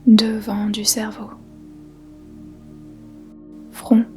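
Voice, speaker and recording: A woman guiding a yoga nidra meditation in a soft, breathy, whispery voice, calmly and in measured short phrases with gentle pauses. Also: medium pitch. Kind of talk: guided meditation, slow soothing instruction. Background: faint music.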